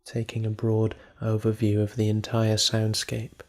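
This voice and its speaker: Male meditation guide: lowish voice, very flat intonation, calm and measured delivery with space between phrases. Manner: calm, measured, with unhurried pauses.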